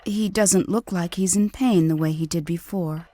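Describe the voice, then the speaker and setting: A woman playing a caring, worried woman at a bedside in a video game, gentle and earnest. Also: on the low side, moderate pace, no echo, natural voice. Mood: tender, hopeful. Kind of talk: video game cutscene voice acting.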